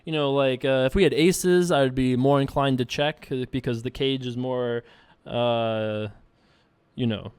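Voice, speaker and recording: A man's voice: mid-range voice, steady tone, unhurried, darkish tone, smooth, dry recording. A man hosting a poker video, commenting casually on his hands as he plays, rambling and joking at his own expense. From United States. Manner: rambling, jokey, self-mocking, laughs at his own jokes. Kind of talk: casual live commentary over online poker play.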